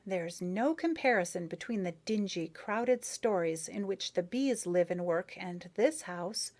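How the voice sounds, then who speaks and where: A woman's voice: fairly low pitch, lively intonation, measured pace, a little mellow. A woman voicing a shy, eager young female bee who speaks with admiring politeness. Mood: shy delight.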